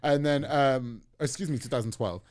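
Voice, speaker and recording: A man in his thirties hosting a casual podcast chat, telling stories with laughter. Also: mid-range voice. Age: 30s. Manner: animated, laughing, quick storytelling. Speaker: podcast host.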